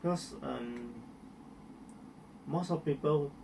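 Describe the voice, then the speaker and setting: A young man with a light Malaysian accent speaks in slow, halting phrases, often restarting words. His voice has a gravelly fry to it. He sits some way from the microphone, with a clear hum of air conditioning behind him. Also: mid-range voice, far from the mic. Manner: hesitant, many restarts and fillers. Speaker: interviewee. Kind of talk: casual interview answers.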